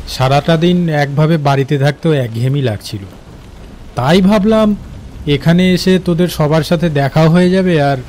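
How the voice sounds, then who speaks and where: Man playing friendly railway worker storyteller, West Bengal Bengali accent, fairly high pitch, soft dark timbre, animated tale. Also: slight background noise, natural voice. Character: friendly older-brother storyteller, a railway employee. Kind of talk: dramatized cartoon dialogue and storytelling. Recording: crickets and rain behind.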